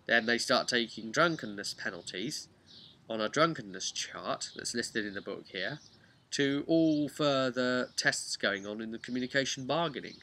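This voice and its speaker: A man, a game master, casually recapping a tabletop session in an animated, rambling, emphatic way. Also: mid-range voice, normal speed. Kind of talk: casual unscripted storytelling vlog.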